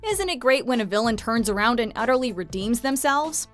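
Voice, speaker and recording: Lively woman narrating. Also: on the high side, a little fast. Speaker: countdown host. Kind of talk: scripted video countdown narration. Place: studio voice-over. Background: music.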